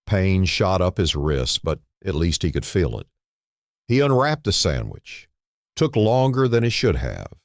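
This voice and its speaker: A man narrating a scripted dramatic story in short, clipped phrases with dramatic pauses. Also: very deep voice, no room sound, studio quality.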